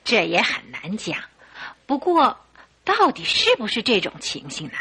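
An actress playing Mrs. Hudson, a sympathetic and curious housekeeper, in an exclamatory old-fashioned radio drama style. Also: female voice, medium pitch, unhurried, husky, full character voice. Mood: curious, surprised. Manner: exclamatory, expressive.

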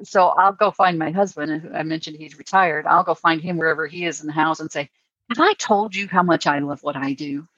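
An older woman hosts a casual podcast chat, talking at an unhurried pace. Her voice sits very low, and the recording is dry and a bit dull, like a lo-fi call. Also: fairly bright tone, dry recording. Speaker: podcast host.

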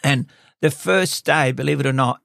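A man's voice: mid-range voice, moderate pace, gravelly, a bit bright, no room sound, clean studio sound. Older man telling rambling stories. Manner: rambling anecdotal storyteller, chuckles often. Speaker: host. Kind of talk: casual chat interview.

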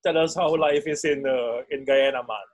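Husky joking podcast man. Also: medium pitch, normal speed, lo-fi sound. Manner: joking, laughs at own jokes. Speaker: podcast co-host. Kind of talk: casual podcast chat.

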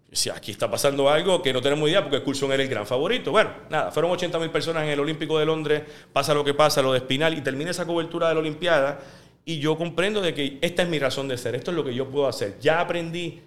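A man with a Puerto Rican Spanish accent, a sports journalist telling stories in an interview and acting out the quotes. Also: a little high. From Puerto Rico. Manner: animated, fast storyteller who acts out quotes. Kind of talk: interview storytelling.

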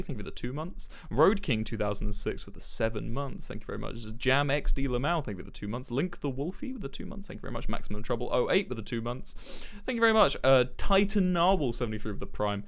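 A man's voice: medium pitch, a bit bright. A man live streaming a game, chatting casually with jokey, sweary banter. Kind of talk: casual gaming chat.

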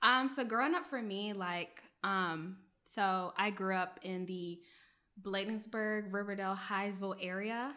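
A young woman from Maryland whose first language was French, a journalist telling stories from her childhood in a relaxed, chatty interview, full of fillers and laughs. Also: medium pitch, unhurried. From Maryland, USA. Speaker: journalist, guest. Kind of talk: casual interview storytelling.

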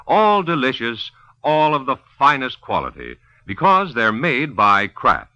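A man's voice: medium pitch, very animated, a little slow, sharp tone, no echo. A man announcing and narrating in polished 1950s radio style, as salesman and storyteller. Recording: muffled 1950s radio recording.

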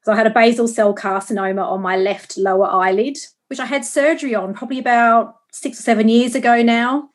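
An Australian woman from Perth chatting with a friend. Her voice sits a little higher than most, and she comes across as chatty, agreeable and easygoing. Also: steady tone, a little fast, hardly any pauses. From Perth, Western Australia. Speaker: guest. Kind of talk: casual conversation.

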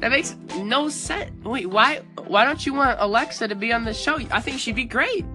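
A woman's voice: a little high, expressive, moderate pace, over music. A young woman hosting a goofy comedy podcast in a playful, silly way. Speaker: podcast host.

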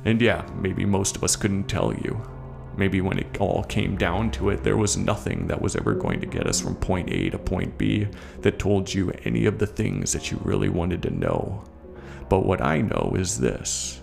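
A man playing a bitter, haunted military veteran in a gravelly, confiding horror story monologue, profane and speaking straight to the listener. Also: low-pitched, big pitch swings, normal speed, heavily acted. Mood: defensive, angry. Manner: conversational, confiding, addresses the listener directly. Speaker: narrator of a horror short story. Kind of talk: scripted first-person horror monologue. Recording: voice over a music soundtrack.

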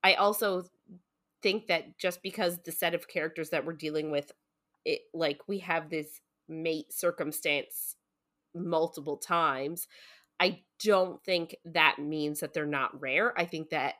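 A woman's voice: deep voice, steady tone, measured pace, fairly bright tone. A woman co-hosting a podcast in a casual book discussion, speaking in an earnest, informal way and hedging her opinions as she goes.

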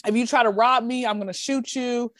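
A woman from Atlanta with a Southern American accent talks in a casual home chat. She is an animated storyteller with a somewhat high voice. The sound is dry and close, with no room around it. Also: a little fast, no room sound. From Atlanta, Georgia, USA. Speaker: guest comedian and podcast host. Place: indoors at home.

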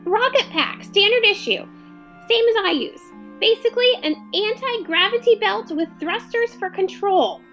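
A woman voicing a brisk, commanding male alien captain in a storybook reading, high and clipped. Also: animated, a bit bright, faint music behind. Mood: stern.

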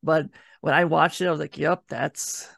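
A man with a fairly high voice and plenty of vocal fry chats casually on a podcast. He sounds easygoing and a little hesitant as he shares opinions, and the recording is dry and close. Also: steady tone, normal speed, fairly bright tone, no echo. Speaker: podcast co-host. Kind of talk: casual movie review chat.

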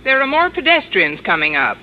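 A wry, sardonic woman schoolteacher delivers deadpan wisecracks in a lively, swinging voice. It is old radio comedy, muffled and buried in heavy noise. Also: sits high, brisk. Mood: dry, sardonic. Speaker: lead actress and narrator. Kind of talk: 1940s-50s radio comedy acting. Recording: muffled, noisy old radio broadcast recording.

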